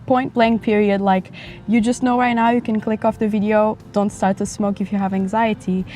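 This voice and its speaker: A woman in her twenties from Portugal, chatting frankly in a casual vlog, her voice a bit raspy from a dry throat, recorded outdoors near a highway. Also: level tone, moderate pace, mellow, soft background music. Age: 20s. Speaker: vlogger. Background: passing motorcycles and traffic.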